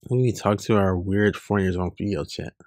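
Male comic reader, informal and casual, gravelly and husky delivery, recorded dry and close. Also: sits low, steady tone, a little fast, a little mellow, no echo. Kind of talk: reading webcomic dialogue aloud with casual reactions.

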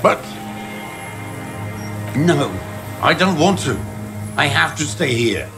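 An actor playing an embittered, unstable old deserter in a video game, with a strained, creaky voice and agitated, erratic delivery. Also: male voice, on the high side, animated, takes it slowly, music in the background. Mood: fearful pleading. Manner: agitated, erratic, swinging between rage and despair.

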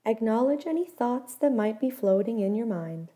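A woman leading a guided body scan meditation, giving gentle, measured instructions and letting her phrase endings fall softly. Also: a little high, expressive.

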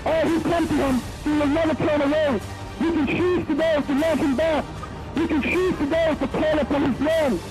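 A man preaching on an open city street corner, his voice pitched very high. He hammers the same urgent calls again and again. Loud music washes over the phone recording. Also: steady tone, normal speed, rough recording. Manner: urgent, repetitive exhortation.

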